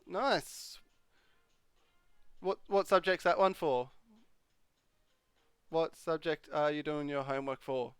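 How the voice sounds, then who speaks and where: A man's voice: a little high, slow pace, long pauses, clear, resonant tone, no room sound, faint music behind. A young man hosting a live stream, chatting casually and answering viewers' questions. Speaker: livestream host. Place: home streaming room.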